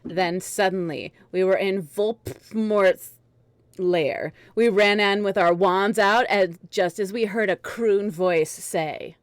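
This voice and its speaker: A woman's voice: mid-range voice, slow pace, dry recording. A woman narrating a comic dramatic reading of fan fiction, with casual asides, as host.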